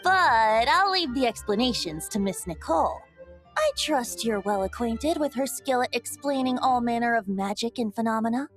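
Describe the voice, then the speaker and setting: A woman's voice: a little high, lively intonation, moderate pace, soft background music, close to an everyday voice. A woman playing a poised, courteous young woman in a video game, speaking politely and articulately. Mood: welcoming. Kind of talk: anime-style game voice acting.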